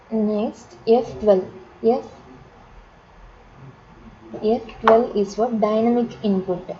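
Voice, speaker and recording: A woman teaching drafting software step by step, halting and often repeating and rephrasing herself. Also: medium pitch. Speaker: software instructor. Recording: dull lo-fi sound, crowd chatter behind.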